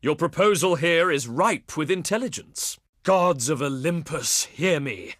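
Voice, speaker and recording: Proud, theatrical ancient Greek diplomat. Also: male voice, on the high side, lively intonation, unhurried, a bit bright, no echo, studio quality. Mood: gracious, pleased. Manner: pompous, flowery, formal oratory. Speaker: video game voice actor. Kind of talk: theatrical game diplomacy voice lines.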